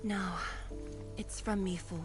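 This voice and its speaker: Woman doing earnest dutiful young game companion, mid pitch, music playing behind. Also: fairly even intonation, slow pace, close to an everyday voice. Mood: earnest, serious. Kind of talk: scripted video game dialogue. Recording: background music.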